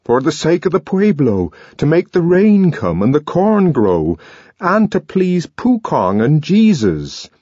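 A man's voice: medium pitch, sing-song, takes it slowly, husky, no room sound, muffled recording, voice pushed up, exaggerated voice. A man voicing an earnest, emotional young man who speaks in an intense, trembling way. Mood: impassioned.

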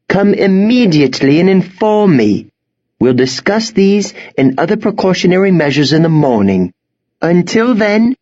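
Guy doing a stern proper headmistress lady, high pitched, formal and bossy, audiobook style, slightly dull recording. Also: darkish tone, heavily acted. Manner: formal, commanding, precise. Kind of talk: audiobook character acting.

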